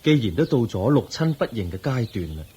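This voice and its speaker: An actor playing a serene, kindly, wise Buddha in a Hong Kong Cantonese radio drama, solemn and measured with breathy huskiness. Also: male voice, medium pitch, very animated, mellow. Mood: firm encouragement. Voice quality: breathy, husky.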